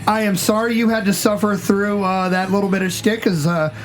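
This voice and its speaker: Jokey high-voiced American podcast host. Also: male voice, fairly even intonation, brisk, over loud music. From United States. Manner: jokey, loud showman introductions. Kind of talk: casual podcast chat.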